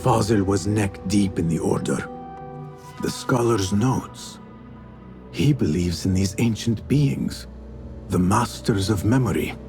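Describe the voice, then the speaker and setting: An actor playing a brooding, haunted assassin in a video game, grave, terse and restrained. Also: male voice, fairly low pitch, slow pace. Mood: grim. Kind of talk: dramatic video game cutscene acting. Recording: music under the voice.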